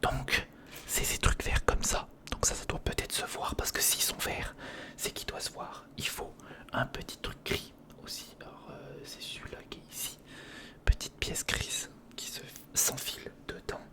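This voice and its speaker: A man speaks in a breathy whisper close to the microphone, murmuring casual hands-on ASMR commentary in fragments, as if thinking aloud. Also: moderate pace. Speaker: ASMR video creator. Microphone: close recorder microphone.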